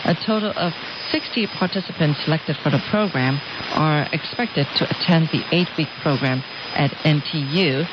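A woman's voice: low voice, moderate pace. A woman radio presenter reading scripted news. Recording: hissy, noisy, dull shortwave radio reception. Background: loud static hiss.

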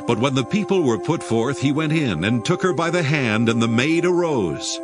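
A man's voice: mid-range voice, lively intonation, normal speed, music in the background. A man narrating dramatized scripture in a solemn storytelling manner.

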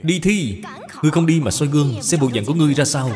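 A man's voice: on the high side, lively intonation, fast, a little mellow, voice pushed up, close to an everyday voice. A man voicing a harsh, domineering stepmother who scolds scornfully in a folk tale. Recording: long reverb, music behind.

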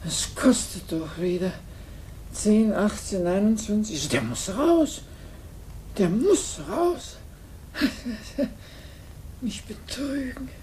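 Stern, slow-spoken tyrannical aunt. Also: female voice, medium pitch, expressive, warm tone. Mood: indignant, angry. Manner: stern, clipped, businesslike. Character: tyrannical, thin, cold businesswoman aunt, tallying accounts. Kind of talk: 1950s German radio play acting. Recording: noisy 1950s radio play recording.

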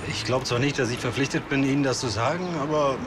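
An actor playing a polite, unruffled snack-stall owner from the Rhineland, proud and obliging, in a TV crime comedy. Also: male voice, mid-range voice, steady tone, fast, music in the background, natural voice. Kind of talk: naturalistic TV crime comedy dialogue.

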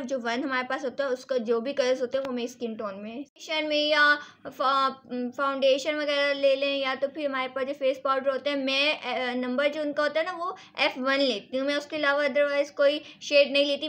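A woman's voice: high voice, a bit bright. A woman casually reviewing and demonstrating products as a beauty vlogger, instructive and repetitive, mixing in English words. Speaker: beauty vlogger and salon owner.

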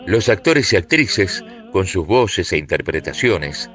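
Very deep-voiced man narrating. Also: sing-song, unhurried, fairly bright tone, faint music behind. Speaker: documentary narrator. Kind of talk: scripted narration.

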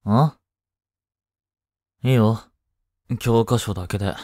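A young man playing a blunt, teasing but caring studious high-school boy in an intimate audio drama, speaking casually. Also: takes it slowly, no echo, clean studio sound. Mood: casual, curt. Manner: casual, curt, softly teasing. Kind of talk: intimate Japanese boyfriend-roleplay audio drama.

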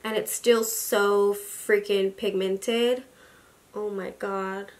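A young woman in her twenties chatting about makeup, gushing and chatty, full of likes and excited exclamations, filmed in a small room at her vanity. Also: medium pitch, slow. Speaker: beauty vlogger. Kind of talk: casual makeup haul chat. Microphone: camera at desk distance.

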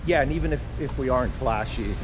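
Chatty man over loud street traffic. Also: mid-range voice, quick pace, lo-fi sound. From New Jersey. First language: Portuguese. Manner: easygoing, chatty tour-guide talk. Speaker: vlogger host. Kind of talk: casual walking chat. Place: busy city street at night, outdoors. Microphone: handheld action camera.

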